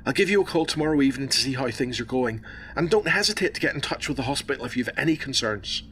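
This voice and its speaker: Give a calm, professional hospital doctor in a radio drama to a male actor. His voice is bright and forward at a medium pitch, with measured, reassuring delivery over a faint low rumble. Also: lightly acted.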